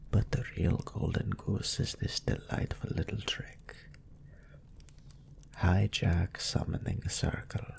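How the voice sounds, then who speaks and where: A man playing a smug, lustful demon incubus in an audio roleplay, musing languidly and sardonically in a gravelly, breathy voice. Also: sits low, dark tone, full character voice. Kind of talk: scripted character monologue in audio roleplay.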